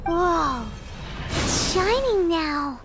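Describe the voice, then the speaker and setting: A woman voicing a tiny, excitable female fairy companion with a squeaky, chirpy voice in a video game. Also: drawn-out words, full character voice. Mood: excited. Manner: exclaiming, eager. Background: game music.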